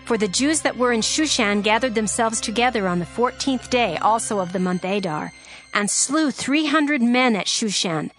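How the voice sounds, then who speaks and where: Woman narrating scripture over soft music. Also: on the high side, normal speed, rough recording. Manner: steady, formal reading.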